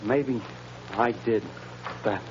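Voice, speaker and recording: An actor playing a guilty, blustering gangster who rattles easily, speaking slangy tough talk in a 1930s radio drama. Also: male voice, mid-range voice, drawn-out words. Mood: panicking. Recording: muffled, very noisy old radio recording.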